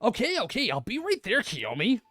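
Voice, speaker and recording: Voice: medium pitch, huge pitch swings, normal speed, sharp tone, dry recording, soft background music, heavily acted. A streamer reading a cocky, crude teenage boy judo star in a visual novel, brash and smug. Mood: hurried.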